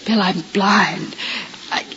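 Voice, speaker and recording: An actress playing a proud young woman who has just gone blind, pleading and halting in a 1950s radio drama. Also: mid-range voice, fairly even intonation, bright, forceful tone. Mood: distressed, pleading. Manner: pleading, halting, breaks into stammers. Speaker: hospital patient. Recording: muffled hissy old radio broadcast.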